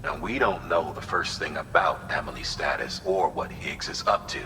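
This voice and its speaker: An actor playing a grave, authoritative operations commander briefing a courier in a video game. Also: male voice, extremely low pitch, normal speed, bright, forceful tone. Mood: businesslike. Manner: authoritative, matter-of-fact briefing. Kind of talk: video game cutscene acting, mission briefing. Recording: long hall reverb, noisy ambience behind.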